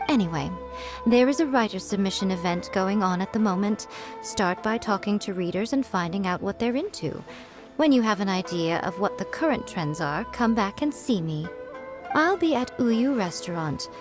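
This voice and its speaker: A woman's voice: medium pitch, lightly acted. An actress voicing a sly, confident woman who runs a publishing house, speaking in a teasing, composed way. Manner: smug, teasing, composed and persuasive. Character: sly, confident adult woman editor, playful and scheming. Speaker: chief editor of a publishing house. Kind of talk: game voice acting.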